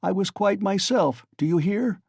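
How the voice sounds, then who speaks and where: A man's voice: high-pitched, expressive, measured pace, creaky, a little mellow, husky, no echo, studio quality, voice pushed up, full character voice. A man voicing a feverish, hostile young male student who answers in tense, defiant outbursts.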